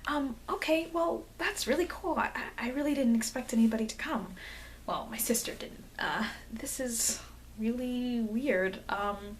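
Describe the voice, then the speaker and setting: A woman's voice: fairly high pitch, moderate pace, close to an everyday voice. A woman playing a friendly, flustered, eager vampire girl in an ASMR roleplay, speaking in an awkward, hesitant, rambling way. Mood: flustered, surprised. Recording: noisy amateur microphone, background hiss.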